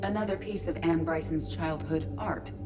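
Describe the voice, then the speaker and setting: A woman's voice: sits low, over music, natural voice. An actress playing a composed, precise female artificial intelligence in a video game, speaking formally and matter-of-factly. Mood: composed, analytical. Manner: measured, formal, matter-of-fact. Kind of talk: game cutscene dialogue.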